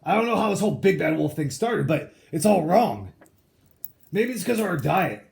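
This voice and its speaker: Reader acting a sly male storybook wolf, gravelly, animated pitch, chatty, self-justifying, mock-innocent. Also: moderate pace. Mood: aggrieved, confiding. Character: sly, aggrieved male cartoon wolf telling his side.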